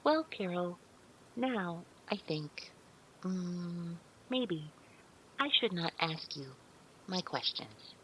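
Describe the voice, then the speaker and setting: A woman's voice: on the low side, lively intonation, drawn-out words, a bit bright, a little hiss or hum, heavily acted, rough recording. An actress playing a meek, naive, otherworldly female servant in an audio drama, speaking in careful, stilted, deferential phrases with hesitant hums. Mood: uneasy, careful. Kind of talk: scripted audio drama roleplay.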